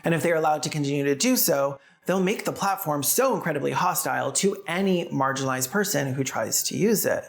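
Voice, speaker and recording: A woman's voice: sits low, expressive, brisk, vocal fry, hardly any pauses, soft background music. A woman narrating scripted video commentary in a sardonic way, with casual asides. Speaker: video essayist and host.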